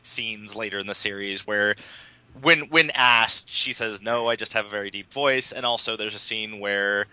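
A man with a very bright, forceful voice talks casually on a podcast, explaining at length and often restarting his sentences. His pitch sits a little low. The recording is dull and lo-fi. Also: normal speed, clean tone, dry recording.

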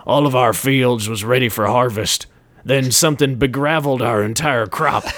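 A man's voice: medium pitch, moderate pace, fairly bright tone, no room sound, natural voice. A man voicing a grumpy, mustachioed male farmer with a grumbling delivery. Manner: glaring, grumbling.

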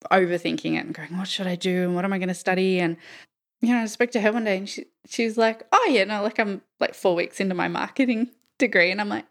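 A woman's voice: medium pitch, quick pace. A woman hosting an informal interview, chatting with teasing banter. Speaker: interview host. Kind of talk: casual chat.

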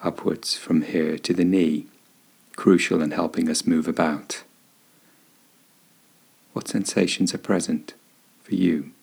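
Male meditation guide: deep, breathy-husky tone, gentle and soothing, unhurried delivery with room to pause. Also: fairly even intonation. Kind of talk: guided body scan meditation narration.

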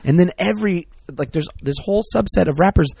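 Middle aged guy rambling and griping on a podcast, warm dark voice, dead dry mic. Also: sits high, fast talker, creaky, breathy edge, no echo. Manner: rambling, exasperated, self-interrupting. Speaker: podcast co-host. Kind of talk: casual chat.

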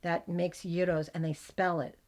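A middle-aged American woman with a gravelly voice, chatting as a podcast host and telling animated stories. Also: lowish voice, normal speed, crowd noise. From United States. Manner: chatty, animated storyteller, laughs often. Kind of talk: casual chat.

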